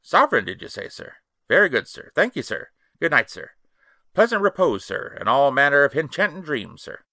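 Nervous servile steward, voiced by a man reading, high and bright. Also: sing-song, normal speed, no echo, clean studio sound, exaggerated voice. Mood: triumphant. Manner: wheedling, servile, dropped h's. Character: frightened cockney ship's steward, nervous.

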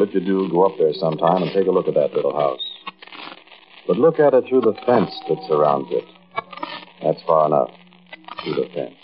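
An actor playing an intense, haunted American man who confides an eerie tale straight to the listener in a 1940s radio monologue, with emphatic pauses. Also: sits low. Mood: confiding, uneasy. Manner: conversational, addresses listener directly, emphatic pauses. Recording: muffled, noisy old radio recording.

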